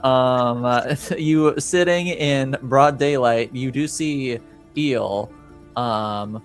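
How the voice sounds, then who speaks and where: A man runs a tabletop game at an unhurried, halting pace. His voice sits at a middling pitch and his narration is casual and improvised. Faint music plays underneath. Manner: halting, deliberate. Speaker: game master.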